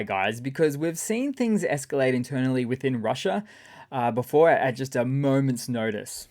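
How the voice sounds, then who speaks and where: A man with a raspy voice hosting a casual, sarcastic news commentary. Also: medium pitch, darkish tone. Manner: sarcastic, chatty. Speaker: channel host.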